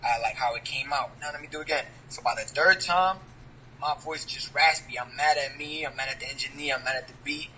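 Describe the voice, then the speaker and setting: A man's voice: medium pitch, fairly quick, pushed and bright, slight background noise, low-quality recording. A man, a rapper talking casually in an interview, leaning on street slang and asking "you get what I'm saying?". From New York.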